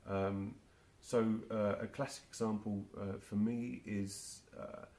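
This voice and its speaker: Interview guest, forties, London accent, low gravelly voice, halting delivery. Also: male voice, little pitch movement, a little mellow. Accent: London English. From England. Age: 40s. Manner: hesitant, many restarts and fillers. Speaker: marketing automation specialist, guest. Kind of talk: casual interview answers.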